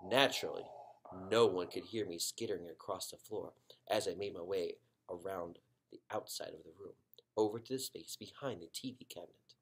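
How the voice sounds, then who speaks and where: A man narrating a children's book expressively as a story reader, with dramatic pauses and drawn-out emphasis. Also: low-pitched, slightly rough.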